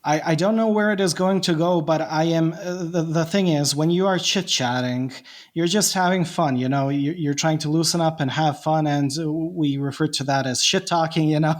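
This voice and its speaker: A man's voice: a little high, moderate pace. A man from Bosnia with a Bosnian accent, a non-native English speaker, talking reflectively in a casual podcast chat with frequent restarts. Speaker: podcast host, guest.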